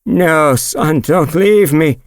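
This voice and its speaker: A man's voice: fairly high pitch, slow pace, dry recording, clean studio sound, voice pushed up. A man voicing a pleading old woman, a crone, for an audiobook. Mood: desperate pleading. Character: pleading old crone clutching at her son. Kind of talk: audiobook character acting.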